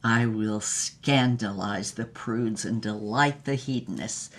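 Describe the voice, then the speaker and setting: A woman's voice: very deep voice, heavily acted. An actress playing a grandiose female film star reminiscing in a languid, theatrical monologue for an audio drama. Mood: indulgent, playful. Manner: grandiose, languid, theatrical delivery with drawn-out words.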